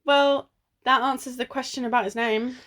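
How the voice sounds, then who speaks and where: A sensible woman sleuth played by an amateur actress in a radio play. Her voice sits fairly high, and she chides and teases like the long-suffering one in charge. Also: fairly even intonation, normal speed, a bit bright. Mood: businesslike. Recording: recorded at home in isolation.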